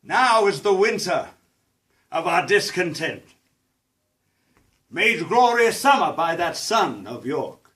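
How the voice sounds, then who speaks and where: A man playing a scheming Shakespearean villain king, declaiming a classical stage monologue in a measured, grand manner. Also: sits high, very animated, a bit bright, recorded from a distance, heavily acted. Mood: brooding, grand.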